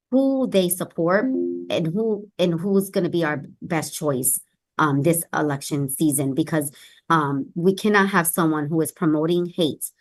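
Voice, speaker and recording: A woman's voice: deep voice, rough recording. A woman speaking earnestly and emphatically as a guest in an interview about her work as a public official. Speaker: city health director, interview guest.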